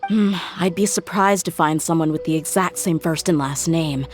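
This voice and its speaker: A woman's voice: deep voice, moderate pace, lightly acted. A young woman playing a tough, blunt, self-assured mercenary in a video game. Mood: serious, troubled. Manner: assertive, no-nonsense. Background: game music.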